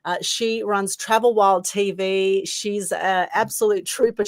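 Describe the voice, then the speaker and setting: A woman hosting an interview show with a mid-pitched voice, effusive and enthusiastic with her guests. The sound is dry and close, with no room in it. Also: dry recording. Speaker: talk show host.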